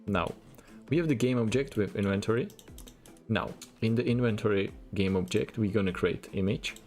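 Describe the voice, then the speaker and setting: A man's voice: fairly low pitch, moderate pace, a little mellow, soft background music. A man from the Czech Republic with a Czech accent, whose first language is Czech, walks through a coding tutorial in plain step-by-step instructions and keeps repeating "we're gonna". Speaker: programming tutor. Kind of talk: screen-recorded coding tutorial.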